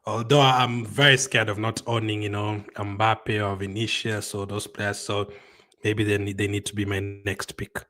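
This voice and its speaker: A man, hosting a casual livestream and answering viewer comments in a conversational, halting way. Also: on the low side, little pitch movement, pushed and bright. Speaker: livestream host.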